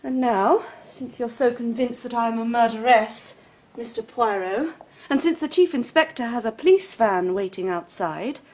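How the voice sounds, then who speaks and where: A woman's voice: on the high side, normal speed, a little mellow, close to an everyday voice. An actress playing a haughty, brazen young British woman accused of murder, defiant and sardonic in a radio drama. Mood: cool, sardonic. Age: young adult.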